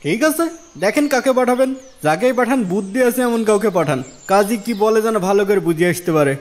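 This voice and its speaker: A man's voice: high voice, animated, fast. A man voicing a stubborn, selfish village landowner in a moral cartoon, arguing dismissively. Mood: self-assured. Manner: argumentative, dismissive. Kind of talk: Islamic moral cartoon dialogue.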